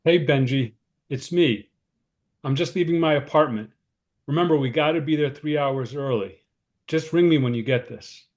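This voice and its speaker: A man's voice: on the high side, moderate pace, a little mellow, natural voice. A man acting the part of a harried, considerate cousin, earnest and slightly fretful, in a screenplay read-through. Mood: anxious, upbeat. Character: David, harried, anxious, considerate male cousin. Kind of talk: informal screenplay table read, naturalistic dialogue.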